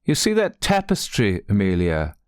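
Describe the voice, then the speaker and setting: A man's voice: on the low side, wildly swooping pitch, normal speed, darkish tone, clean tone, no room sound, clean studio sound. A man voicing a cold, domineering mistress of the house in an audiobook, curt and commanding. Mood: needling. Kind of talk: audiobook character voice.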